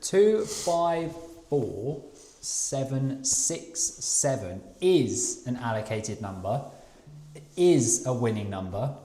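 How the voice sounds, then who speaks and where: A man's voice: medium pitch, a little mellow, echoey room. A man co-hosting a live prize draw, reading viewer comments aloud in casual, reassuring talk. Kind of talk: casual live-stream chat.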